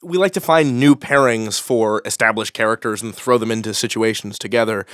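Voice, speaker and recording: A man's voice: on the low side, a little fast, clear, resonant tone, dry recording, studio quality. A man being interviewed as a writer, chatting casually with wry, joking asides. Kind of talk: casual group interview banter.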